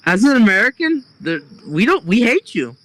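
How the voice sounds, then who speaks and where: Bright, high-voiced man chiming in. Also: very animated, moderate pace, no room sound, low-quality recording. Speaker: call guest. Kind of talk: casual group voice chat.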